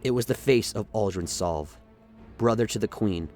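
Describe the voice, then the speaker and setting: Guy narrating a radio play, lowish voice, dramatic scripted storytelling, soft music under it. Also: normal speed.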